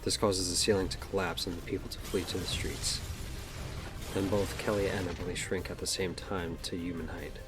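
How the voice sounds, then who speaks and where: Casting: male narrator for a scripted superhero audio drama, deep voice, faint music underneath. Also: fairly even intonation, moderate pace.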